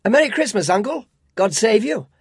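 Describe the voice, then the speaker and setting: A man reading a storybook, voicing a cheerful, eager young man who pleads gently. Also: medium pitch, sing-song, normal speed, breathy edge, no room sound, studio quality. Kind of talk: audiobook reading.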